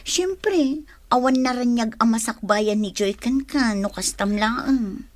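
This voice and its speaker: A woman's voice: fairly high pitch, takes it slowly, no room sound. An actress with an Ilocano Filipino accent playing a teasing, frank, nosy woman who gives advice in a radio drama.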